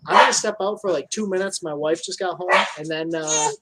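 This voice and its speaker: A man's voice: a little high, brisk, noisy background. A thirty-year-old man chatting casually as a guest on a live video call from home, laid-back and colloquial. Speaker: guest angler. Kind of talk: casual chat.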